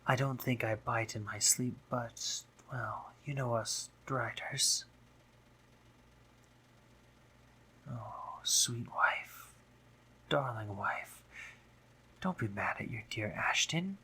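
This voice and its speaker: A man playing a teasing, dominant, courtly spider monster in an intimate audio roleplay, theatrical and breathy, often dropping into whispers. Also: mid-range voice, takes it slowly, pushed and bright. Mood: tender, coaxing. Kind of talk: intimate scripted audio roleplay, addressing the listener.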